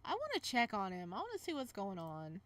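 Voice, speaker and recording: A woman hosting a casual visual novel playthrough, reading narration aloud and adding playful, expressive side comments. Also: medium pitch, brisk. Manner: expressive, playful reactions between read passages.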